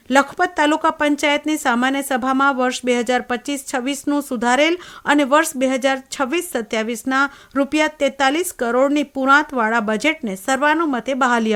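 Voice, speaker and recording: A woman's voice: sits high, fairly bright tone. A woman reading scripted news headlines as a newsreader.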